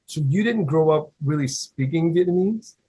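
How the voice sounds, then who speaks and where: A man's voice: fairly high pitch, animated, normal speed, dark tone, breathy edge. A man interviewing a guest in a casual chat, rambling and hesitant with many fillers.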